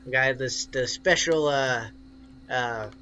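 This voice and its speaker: A bearded, easygoing tavern keeper acted out by a man for a tabletop game. The voice is middling in pitch, plain and a little halting, polite throughout, on slightly dull audio. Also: bright, forceful tone, a little hiss or hum. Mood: polite, casual. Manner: hesitant, plain-spoken. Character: thick-bearded male human tavern barkeep, laid-back and polite.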